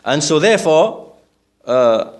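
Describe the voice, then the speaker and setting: A man's voice: a little high, very animated, slow pace. A man teaching in an explanatory religious lecture, speaking didactically and checking that listeners follow with questions.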